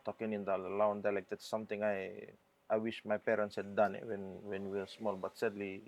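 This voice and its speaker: A man from Fiji with a Fijian English accent, a band member answering interview questions in a reflective, halting way. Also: lowish voice, level tone, creaky.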